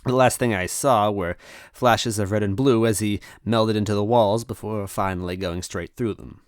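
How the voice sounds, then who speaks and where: A man reading a first-person story aloud in an expressive narrating style. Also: fairly low pitch, moderate pace, smooth, dry recording, clean studio sound. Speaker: story reader.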